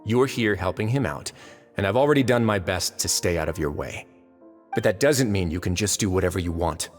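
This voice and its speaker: Suave, menacing low-voiced fortress lord. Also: male voice, animated. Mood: measured warning. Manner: measured, courteous, sardonic, menacing. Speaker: voice actor in a dubbed video game. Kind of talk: theatrical game cutscene dialogue. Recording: long reverb under game music.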